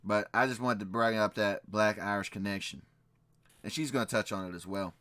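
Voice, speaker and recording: Low, bright-toned man reading records. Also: a little fast, dry recording. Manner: emphatic, repeats key phrases, asks "right?". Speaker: channel host reacting to a video. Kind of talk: casual commentary, reading documents aloud.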